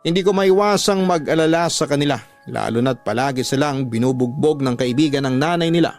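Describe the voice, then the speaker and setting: A man delivering dramatic first-person scripted narration as a radio drama storyteller, in a measured way. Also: mid-range voice. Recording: music bed under the voice. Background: music.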